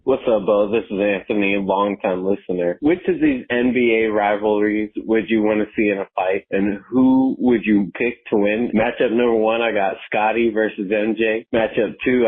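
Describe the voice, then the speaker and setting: A man's voice: fairly low pitch, breathy edge. A man leaving a casual question as a caller on a phone voicemail. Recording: muffled tinny phone voicemail.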